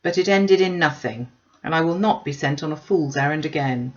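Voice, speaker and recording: Woman acting a wry, emphatic old gentleman. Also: sits low, moderate pace, muffled recording, lightly acted. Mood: stubborn refusal. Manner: sardonic, emphatic. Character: wry, grumbling older gentleman father, mockingly proud. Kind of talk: classic novel read-aloud.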